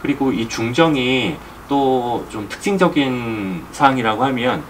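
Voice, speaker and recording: A middle-aged man, an architect, explains drawings in a measured presentation, pausing as he thinks aloud. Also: mid-range voice, expressive, rough recording. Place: indoor office beside a presentation screen. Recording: clear background room noise.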